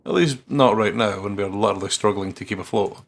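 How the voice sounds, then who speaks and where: A man with a husky, gravelly voice playing a visual novel, reading the text aloud and joking in a playful way. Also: fairly low pitch, quick pace. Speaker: let's play host reading the game aloud. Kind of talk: dramatic read-aloud with joking commentary.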